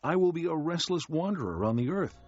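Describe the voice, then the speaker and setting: An actor playing a sullen, aggrieved biblical man in a dramatized scripture reading, with a husky voice. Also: a little high, lively intonation, fairly quick, darkish tone, close to an everyday voice. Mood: anguished. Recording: dull band-limited recording. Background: music.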